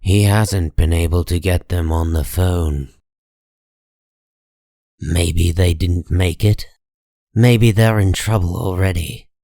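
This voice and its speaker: A man narrating a scripted comic story in a measured, deliberate dramatic style. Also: deep voice, fairly even intonation, creaky, mellow, no echo, studio quality.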